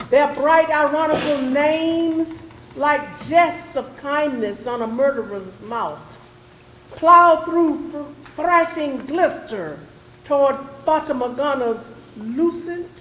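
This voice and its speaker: A woman giving a solemn, deliberate, dramatic recitation of poetry in a readers theatre. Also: sits high. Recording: echoey room with faint noise.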